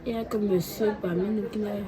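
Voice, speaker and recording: A woman's voice: medium pitch, fairly even intonation, fairly quick. A woman, a mother with a head cold, chatting casually to her family vlog viewers. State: congested with a cold and cough. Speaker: vlogger mother.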